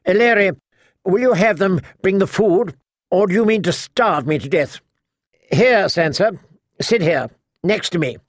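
Man voicing a sharp-tongued, bossy old noblewoman, lifted pitch. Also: expressive, a little slow, long pauses, no echo, studio quality. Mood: tart, bossy. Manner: imperious, blunt, talkative. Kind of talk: audiobook character acting.

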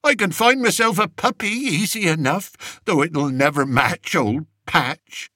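A timid old shepherd, as a man puts him on, wheezing out his words in a thick rustic dialect. His voice rides high and husky, pleading and close to tears. It sits in a dry storybook reading. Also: a little slow, sharp tone, no room sound. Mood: pleading, tearful. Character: frightened tearful old male shepherd, rustic.

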